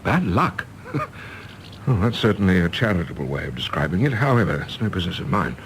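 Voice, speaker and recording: A man's voice: sits low, big pitch swings, brisk, slight background noise, rough recording. A man with an English accent playing an irritable, pompous diplomat son in a radio drama, clipped and formally polite. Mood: aggrieved, aloof. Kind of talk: stage-play radio drama acting.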